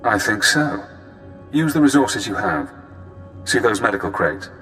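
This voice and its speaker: An actor playing a cool, cunning spy handler in a video game, speaking in measured, cerebral, clipped phrases. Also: male voice, lowish voice, sing-song, pushed and bright, faint music behind, full character voice, poor audio. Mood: calculating.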